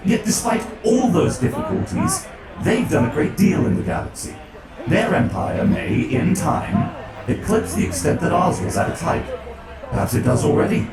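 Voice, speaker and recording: An ancient undead machine scholar, acted out by a man, speaks with erudite, amused curiosity in a dramatic reading. The delivery swings widely in pitch and has a heavy gravelly creak, set back in echo with music behind. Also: wildly swooping pitch, normal speed, a loud crowd, heavily acted. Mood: admiring. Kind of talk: dramatic audiobook-style reading. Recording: distant echoey voice under loud music.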